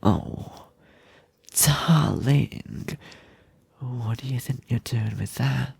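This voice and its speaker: Obsessive lovesick male kidnapper, played by a man for ASMR roleplay: very slow, gravelly, intimate, doting yet threatening. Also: mid-range voice, a little mellow, no echo, heavily acted. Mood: menacing, controlled. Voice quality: husky, breathy, often whispering.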